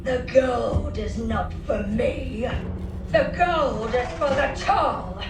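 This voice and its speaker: An actress voicing a cursed, monstrous female toll collector in a game, who makes halting, repetitive, insistent demands for gold. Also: fairly high pitch, lively intonation. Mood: obsessive, insistent. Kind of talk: video game voice acting. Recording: echoing, distant, music behind.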